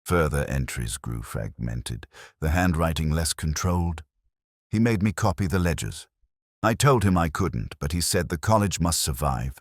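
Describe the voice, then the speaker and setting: A man with an extremely low voice reads a scripted detective drama. His delivery is measured and brooding, with lively rises and falls in pitch. The sound is dry and close, suited to a reflective, weary investigator telling his own case. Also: no echo. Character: world-weary, reflective male police detective. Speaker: audio drama narrator. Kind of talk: scripted first-person detective story narration.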